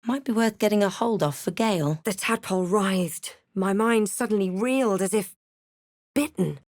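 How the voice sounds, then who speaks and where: A woman voicing a wry, observant adventurer heroine in a video game, speaking scripted lines and narration in a measured, understated way. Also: mid-range voice, darkish tone, dry recording, clean studio sound, natural voice. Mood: measured, watchful. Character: female adventurer hero, wry, observant, quietly guarded. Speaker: video game player character.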